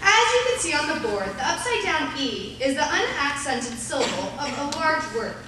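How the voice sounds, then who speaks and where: A woman's voice: sits high, sing-song, normal speed, pushed and bright, full character voice. An actress playing a haughty, impatient woman schoolteacher in a live radio play, dismissive and scolding. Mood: stern, brisk. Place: live on a theater stage. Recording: very echoey live hall, distant, faint noise.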